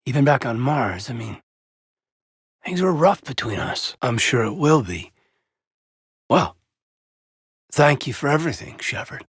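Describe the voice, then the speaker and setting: A man playing a loyal, earnest soldier in a video game, with a husky voice and a measured, sincere delivery that has hesitant pauses. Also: medium pitch, expressive, no room sound, studio quality. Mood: measured, subdued. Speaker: video game character, military officer. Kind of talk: cinematic video game dialogue acting.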